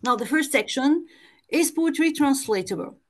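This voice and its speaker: A woman presents an academic paper over a video call. Her voice sits a little high, and she reads in a careful, measured way, sometimes stopping to restart a word. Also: animated. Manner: careful, measured reading with occasional hesitant restarts. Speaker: conference presenter. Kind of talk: reading a prepared academic paper. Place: home or office on a video call.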